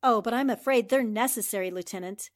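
A woman acting a sharp, confident newspaper reporter in a 1940s-style radio drama, speaking in a crisp, assured way. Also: on the high side, fast talker, close to an everyday voice. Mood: playful teasing. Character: sharp, confident female newspaper reporter turned amateur sleuth.

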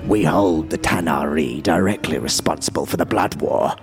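Deep, creaky, pompous fiend. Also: male voice, music in the background. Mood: self-righteous. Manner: pompous, florid, drawn-out formal phrasing. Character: smug, theatrical male fiend, silky and grandiose. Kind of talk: audio drama acting.

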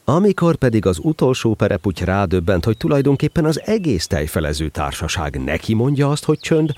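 A man narrating a children's story as an expressive, scripted storyteller. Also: fairly low pitch.

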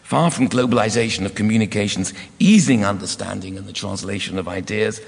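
Hoarse, low-voiced male lecturer, formal and measured, recorded in a lecture hall with long reverb. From United Kingdom. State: hoarse, croaky voice. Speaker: guest lecturer. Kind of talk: academic lecture.

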